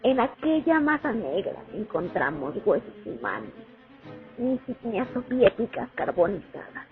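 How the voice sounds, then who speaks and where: Radio drama: young Soviet woman veteran woman acting, haunted, deliberate, highish voice over music, tinny sound. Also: sing-song. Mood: somber, haunted. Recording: tinny phone-like audio, music underneath.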